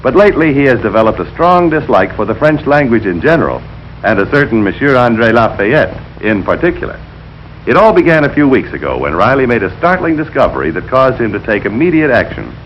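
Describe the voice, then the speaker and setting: A formal, wry radio narrator played by a man from the 1940s. He has a mid-pitched voice with very animated intonation. The recording is dull, with clear noise. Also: natural voice. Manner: wry, formal, deliberate delivery. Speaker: announcer, narrator.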